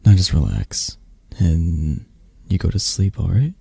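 A possessive yet tender mafia boss, acted by a man for an ASMR romance roleplay, murmurs intimately and teasingly. The delivery is slow and halting, with a husky edge and a close, dry sound. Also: low-pitched, very animated, dark tone, dry recording, heavily acted. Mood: tender, sleepy.

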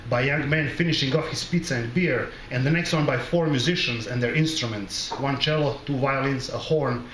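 A middle-aged man from the former Yugoslavia reading his literary short story aloud at a microphone, in a measured, deliberate and wry way. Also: mid-range voice, fairly bright tone. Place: small bar with brick wall, indoors. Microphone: close microphone on a stand. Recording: roomy live recording, background noise.

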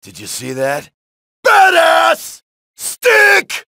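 An actor playing a brash, wisecracking male soldier who shouts taunts and one-liners while killing zombies in a video game, with a gravelly voice. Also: very high voice, wildly swooping pitch, very slow, no echo, heavily acted. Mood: taunting. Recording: dry studio voice lines.

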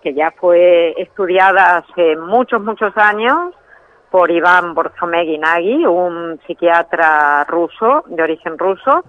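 A woman guest calling in by telephone, explaining ideas in a measured way and sometimes correcting herself mid-phrase. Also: on the low side, lively intonation, slight background noise. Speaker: phone-in guest, trainer in biological decoding. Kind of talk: explanatory interview answers. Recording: tinny phone line.

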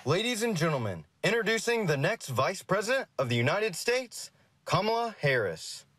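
A man's voice: high-pitched, big pitch swings, moderate pace, a bit bright. A man announcing speakers to the stage in a formal event introduction.

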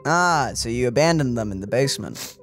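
A man's voice: mid-range voice, expressive, brisk, fairly bright tone. A man giving casual, blunt and wryly joking reactions as co-host of a video game playthrough. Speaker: let's play co-host. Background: game music.

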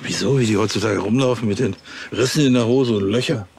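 A man's voice: fairly low pitch, quick pace, creaky, darkish tone, a little hiss or hum. An actor playing an affable, self-important middle-aged policeman who is chatty and officious, in a TV crime comedy. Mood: questioning.

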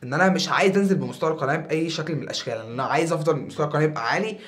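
A young man in his twenties with an Egyptian Arabic accent, talking casually to camera as a YouTuber in a small foam-paneled room, animated and a bit tired. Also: a little high, rapid-fire. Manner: animated, gestures a lot with his hands.

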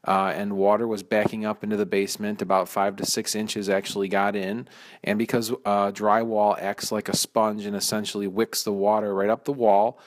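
Contractor, man, low voice, casual explainer who pauses and restarts often. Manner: explanatory, frequent fillers and restarts. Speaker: mold removal contractor. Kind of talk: informal walkthrough narration.

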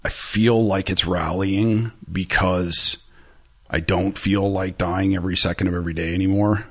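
A man's voice: sits low, steady tone, moderate pace, fairly bright tone, husky. A man in his forties talking candidly as a podcast guest, rambling with lots of fillers and self-deprecating asides, close to a studio mic in a small room. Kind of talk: candid conversational interview. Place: small room with bright orange shelves. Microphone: boom-arm studio mic close to mouth.

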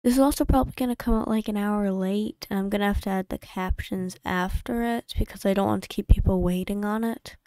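Smooth-voiced woman rambling over her drawings. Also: medium pitch, fairly even intonation, a little mellow, no echo. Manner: rambling, self-correcting, quick asides. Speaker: YouTube creator. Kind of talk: casual voice-over narration of a game playthrough. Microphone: home desk mic.

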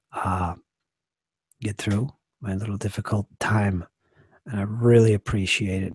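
A hoarse man in his forties, a game master losing his voice, chatting casually and earnestly on a live stream from a small home study into a desk microphone. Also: fairly low pitch, no echo. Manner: rambling, earnest, trailing off quietly. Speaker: game master and channel host.

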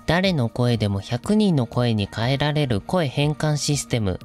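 Male host, early 30s, youthful feminine-sounding voice, polite explainer delivery, animated intonation. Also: mid-range voice, a little slow. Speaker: video host. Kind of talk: scripted explainer talk to viewers. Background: background music.

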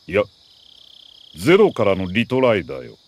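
An actor playing a middle-aged army major who briefs an agent in a crisp, authoritative, deliberate way in a video game. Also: male voice, fairly low pitch, huge pitch swings, slight background noise. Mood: grave, commanding. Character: male military major, mission commander, precise and earnest. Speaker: mission commander briefing an agent.

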